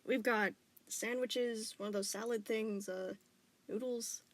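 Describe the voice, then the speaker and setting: A woman's voice: on the high side, fairly even intonation, measured pace, lightly acted. A woman voicing a worried, guilt-stricken middle-aged father who stammers through anxious apologies. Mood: gently coaxing.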